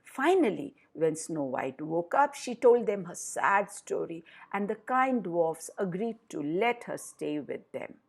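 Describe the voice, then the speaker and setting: A woman narrating a fairy tale for children with deliberate, dramatic emphasis. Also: lowish voice, big pitch swings, vocal fry, husky. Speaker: storyteller for children.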